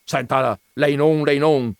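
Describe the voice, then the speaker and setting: A man's voice: fairly high pitch, big pitch swings, moderate pace, dry recording, clean studio sound, voice pushed up. A man putting on a cranky stubborn gent. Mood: exasperated. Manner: loud, indignant, argumentative. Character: cranky, absurdly stubborn man who shouts easily.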